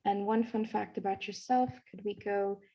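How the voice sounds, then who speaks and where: Young female moderator for an online student panel, mid-range pitch, dark and relaxed tone. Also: moderate pace, rough recording.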